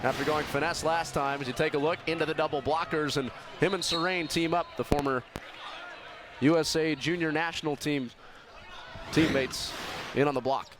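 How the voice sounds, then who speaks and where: Male colour commentator, American, slightly high voice, explanatory and emphatic, gym noise clearly audible behind him. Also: steady tone, normal speed. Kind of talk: live sports analysis. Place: indoor sports arena during a match. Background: arena noise, balls bouncing.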